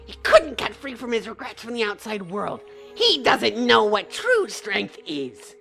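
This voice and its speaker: Bright, creaky, taunting villain. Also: female voice, high voice, full character voice. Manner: mocking, theatrical, drawn-out. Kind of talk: dramatic anime-style fandub acting. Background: faint music behind the voice.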